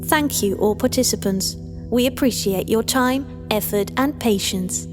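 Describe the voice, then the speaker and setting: A professional female scientist voiced by a woman speaks politely and fairly high. Her measured words ring in long reverb, with music clearly behind, as in a horror audio drama. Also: close to an everyday voice. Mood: formal, polite. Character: composed professional female scientist running an experiment.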